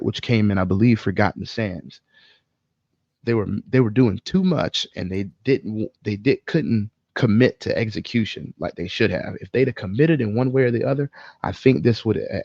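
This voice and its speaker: A man co-hosting a casual podcast, speaking in an opinionated, emphatic way with swearing and halting pauses. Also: on the low side, darkish tone, no room sound, lo-fi sound. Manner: profane, emphatic, halting with pauses mid-thought. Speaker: podcast co-host. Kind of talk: casual film discussion, opinionated ranting.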